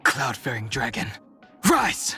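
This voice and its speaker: A man voicing a stoic, reserved young dragon-descended warrior in a video game, calm, formal and solemn, burdened by his past. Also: mid-range voice, slow pace, faint music behind, heavily acted. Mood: intense, commanding. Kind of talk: video game character voice lines.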